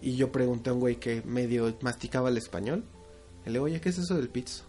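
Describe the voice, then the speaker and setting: A man's voice: medium pitch, fairly even intonation, fast, dark tone, soft background music. A young man with a Mexican accent, co-hosting a podcast and telling travel stories in a crude, joking way. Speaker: podcast co-host. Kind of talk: casual chat.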